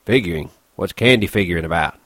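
A man voicing a bitter, lonely older ranch hand who talks gruffly in rough rural dialect. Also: low voice, fast, a bit bright, no room sound. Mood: grudging.

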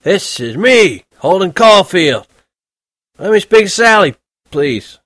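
A man voicing a very drunk teenage boy shouting and pleading into a phone. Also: high-pitched, very animated, takes it slowly, no room sound, studio quality, voice pushed up, full character voice. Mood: drunk yelling.

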